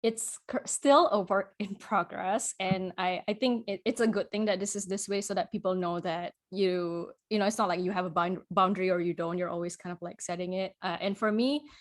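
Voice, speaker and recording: A woman's voice: mid-range voice, fairly even intonation. A woman who grew up in Singapore speaks as a podcast guest in casual conversation. She works through her ideas aloud, stopping and restarting often. Small laughs run through her talk. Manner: thoughtful, halting, many restarts and fillers, laughs often.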